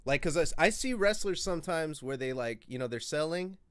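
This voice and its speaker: A man's voice: fairly high pitch, lively intonation, fairly quick, a bit bright, clear, resonant tone, dry recording. A man in his late twenties hosting a podcast, telling a rowdy story in casual, profane chat. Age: 20s. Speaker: podcast host.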